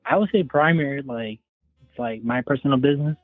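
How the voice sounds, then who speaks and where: A man's voice: medium pitch, brisk, dry recording, faint music behind. A young man in his twenties calling in by phone, rambling casually and hedging with lots of "like.". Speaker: caller. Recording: tinny phone line.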